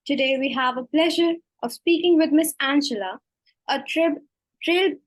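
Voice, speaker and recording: A woman's voice: high-pitched, moderate pace, dry recording. A young woman from India with an Indian English accent, hosting an interview politely and stumbling over a few words. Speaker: interviewer, host.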